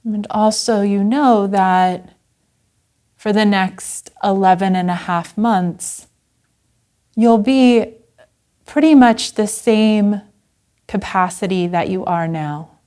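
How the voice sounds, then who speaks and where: A woman Zen teacher leading a guided meditation in a gentle, unhurried manner with long pauses. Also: medium pitch.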